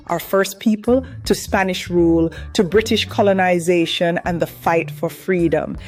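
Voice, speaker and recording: A woman's voice: mid-range voice, a little mellow. A Jamaican woman, host of a travel channel, narrating a scripted piece in an upbeat, rhythmic way as she lists what her island offers. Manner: upbeat, rhythmic listing delivery.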